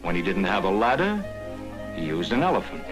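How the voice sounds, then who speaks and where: 1940s American newsreel narrator over music. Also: male voice, low voice, sing-song, fairly quick. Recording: 1940s film soundtrack with prominent music.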